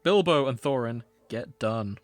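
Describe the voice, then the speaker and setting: A man's voice: mid-range voice, expressive, unhurried, no room sound. A man co-hosting a podcast in casual comedic chat, with a dry, matter-of-fact manner. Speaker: podcast co-host.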